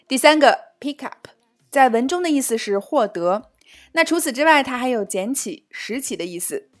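A woman who is a native Mandarin speaker presents a language lesson in an upbeat, scripted manner. Her voice sits a little high. Soft music plays faintly behind her. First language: Mandarin Chinese. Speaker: host and language teacher.

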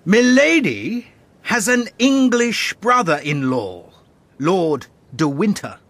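A man playing a bold, commanding musketeer leader in a dramatised storybook, speaking assertively and deliberately, calm under fire. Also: sits high, no room sound, full character voice. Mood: businesslike.

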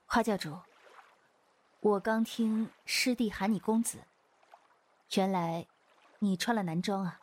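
A woman's voice: mid-range voice, measured pace, long pauses, a little mellow, lightly acted. A woman playing an easygoing, bashful young Taoist swordswoman in a Chinese wuxia audio drama. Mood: flustered, bashful.

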